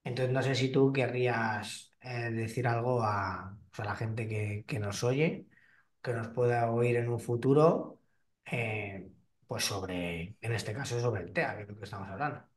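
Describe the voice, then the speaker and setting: A man hosts a relaxed interview in a middling voice. He stops, restarts and fills gaps as he works toward his point. The sound is roomy, and he sits well back from the microphone. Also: mid-range voice, unhurried, distant mic. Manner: hesitant, many restarts and fillers. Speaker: host and fitness trainer. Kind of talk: casual interview.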